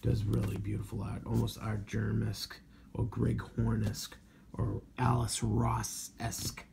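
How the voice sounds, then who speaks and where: A man of around forty, hoarse from losing his voice, chatting casually through a show-and-tell review with lots of "um"s. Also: on the low side, completely flat delivery, a little slow, background noise. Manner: rambling, filler-heavy, enthusiastic about covers. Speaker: comic book collector vlogger.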